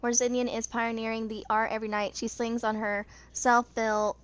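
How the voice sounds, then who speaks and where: A woman's voice: on the high side, level tone, moderate pace. A woman in her twenties hosting a gaming channel, reading character stories aloud with casual asides, tripping over words and rereading them. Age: 20s.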